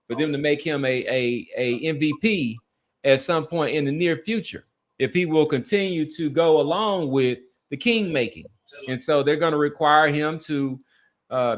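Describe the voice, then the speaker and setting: Man talking sports, halting and repetitive. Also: fairly high pitch. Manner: halting, repeats words, earnest monologues. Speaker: podcast host. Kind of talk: casual sports talk and commentary.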